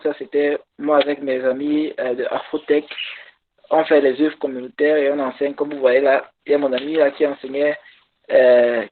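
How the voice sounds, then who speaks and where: A man whose first language is English, giving a workshop talk in halting French over a video call and pausing to search for words. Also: mid-range voice, a little hiss or hum. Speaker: conference speaker. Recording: tinny phone-like call audio.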